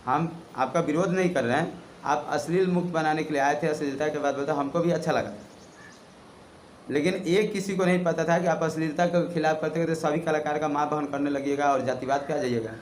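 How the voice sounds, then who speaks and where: A man's voice: fairly high pitch, steady tone, a little fast. A man with a Bihari accent speaks in an echoey room with faint noise behind him. He addresses people directly as a channel commentator. His delivery is confrontational and scolding, built on pointed questions. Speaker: YouTube channel host. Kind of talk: opinion commentary to camera, direct address to viewers. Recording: roomy echo, faint background noise.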